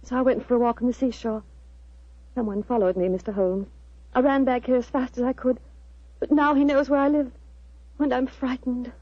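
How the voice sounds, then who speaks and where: A woman's voice: on the high side, fairly even intonation, normal speed, long pauses, no echo, natural voice. An actress playing a frightened, earnest young woman, anxious and pleading, in a 1940s radio drama. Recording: dull vintage 1940s radio recording.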